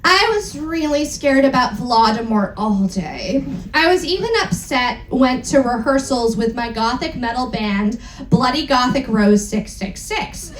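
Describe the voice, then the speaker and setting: A woman's voice: a little high, expressive, unhurried, a little hiss or hum. A woman giving a comic live dramatic reading in a theatrical, mock-serious way, breaking off for asides. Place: live event room with audience. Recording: roomy live stage sound.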